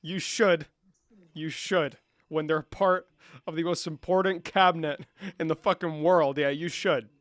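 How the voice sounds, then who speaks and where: A man's voice: on the high side, fairly even intonation, unhurried, a bit bright, no room sound, clean studio sound. A young man hosting a live debate stream, brash and mocking, swearing often. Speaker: livestream debate host. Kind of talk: casual livestream debate.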